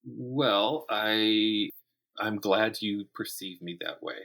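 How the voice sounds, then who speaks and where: A middle-aged man with a low, husky voice that still carries a bright, forward edge. He talks conversationally as an interview guest, thinking aloud and restarting phrases as he goes. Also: slow. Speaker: guest, writer and academic. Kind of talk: conversational interview about writing craft.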